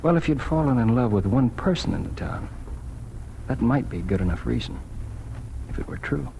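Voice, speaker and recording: A man's voice: mid-range voice, lively intonation, normal speed, gravelly, mellow. A man acting an earnest small-town newspaper editor in a 1940s radio drama. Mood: shy, tender. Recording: noisy 1940s radio broadcast recording.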